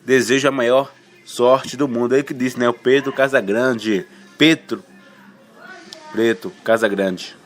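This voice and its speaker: A man from Bahia, reading radio news headlines informally and haltingly, with self-corrections, colloquial asides and a slight cold. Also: mid-range voice, fairly even intonation. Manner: colloquial, halting, stumbles over words and self-corrects, tags remarks with questions. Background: music.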